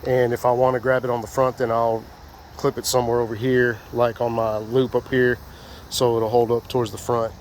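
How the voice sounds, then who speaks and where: A man's voice: medium pitch, flat delivery, fairly quick, darkish tone, slight background noise. A man with a congested, stuffy voice, casually walking through his fishing gear outdoors in a rambling, folksy way. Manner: rambling, folksy, many fillers. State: congested from a sinus infection. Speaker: fishing vlogger.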